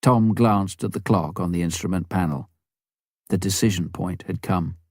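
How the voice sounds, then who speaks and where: A man reading a scripted story as an audiobook narrator, measured and dramatic, with deliberate pauses. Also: sits low, a little mellow, dry recording, studio quality. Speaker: audiobook reader.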